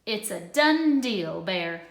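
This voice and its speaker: A woman's voice: medium pitch, sing-song, takes it slowly, creaky, heavily acted. A woman voicing a clever, scheming male hare in a children's storybook, with an eager, persuasive salesman's manner. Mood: loud, triumphant. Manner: eager, persuasive, calling out brightly. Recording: echoey room.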